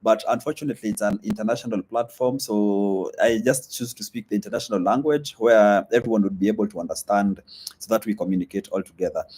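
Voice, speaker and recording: A young man talks casually into a live stream. His voice sits on the low side, and his speech is loose, with plenty of fillers. His is Swahili. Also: quick pace, a little mellow, breathy edge, low-quality recording. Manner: loose and chatty, many fillers, reads comments aloud. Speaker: vlogger hosting a live stream. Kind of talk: casual live-stream chat reading comments.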